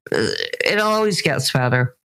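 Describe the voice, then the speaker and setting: A woman's voice: a bass voice, huge pitch swings, takes it slowly, vocal fry, a bit bright. A woman hosting a music show, chatting enthusiastically as she interviews guests. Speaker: radio show host and indie music reviewer.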